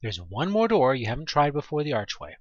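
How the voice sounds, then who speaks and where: Husky, animated male horror narrator. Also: medium pitch, fairly quick, no echo, highs cut off. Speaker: narrator of a fan audio drama. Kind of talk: dramatic second-person horror story narration.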